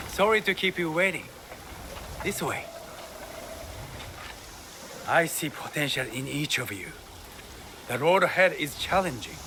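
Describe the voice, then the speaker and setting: A man's voice: mid-range voice, background noise. A man playing a grandiose, zealous samurai recruiter in a video game cutscene, talking in a florid, ingratiating, theatrical way. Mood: rallying.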